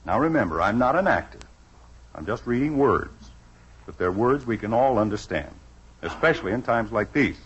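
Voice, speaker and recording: A man's voice: fairly low pitch, animated, moderate pace, a bit bright, slightly rough. An actor playing an exasperated, hammy middle-aged suburban father with an American accent in 1950s radio sitcom style. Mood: earnest, solemn. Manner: theatrical, emphatic. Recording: muffled vintage radio recording.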